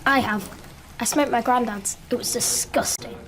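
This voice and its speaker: An actress playing a blunt, defiant but loyal young child in a naturalistic radio drama. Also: female voice, on the high side, moderate pace, fairly bright tone, a little hiss or hum, lightly acted. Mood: matter-of-fact.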